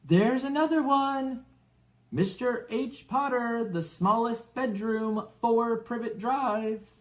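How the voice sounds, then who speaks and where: A man voicing a spoiled boy in a storybook, shouting and whining in drawn-out tantrums. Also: very high voice, pitched above the performer's own voice, rough recording. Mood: loud shouting. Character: spoiled bawling boy throwing loud tantrums.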